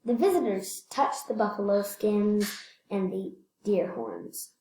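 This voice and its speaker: A woman reading a children's picture book aloud, slightly halting, repeating phrases and adding casual asides. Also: mid-range voice, big pitch swings, dark tone. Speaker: read-aloud storyteller. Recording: echoey room.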